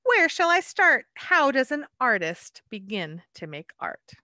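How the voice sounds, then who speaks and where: A woman voicing a proud cat who tells a tale, in a higher, grand storyteller cadence. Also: sing-song, measured pace, sharp tone, no echo, studio quality, full character voice. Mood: storytelling.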